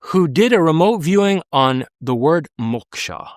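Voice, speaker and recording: A man's voice: fairly high pitch, animated, unhurried, no room sound, clean studio sound. A man from the United States speaking as an interview guest, earnest and emphatic, with frequent restarts. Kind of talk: conversational interview.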